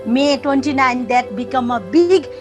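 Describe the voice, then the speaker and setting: A woman from Nepal with a Nepali accent, a guest in a casual interview, speaking haltingly with many fillers as she searches for words. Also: high-pitched, animated, over music.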